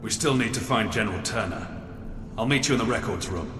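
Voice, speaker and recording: Man acting a grim, low-voiced officer in an echoing hall. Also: brisk, natural voice. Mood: grave, urgent. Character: grim, scheming military prison officer, outwardly loyal. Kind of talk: video game drama acting. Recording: echoey hall, distant, music underneath.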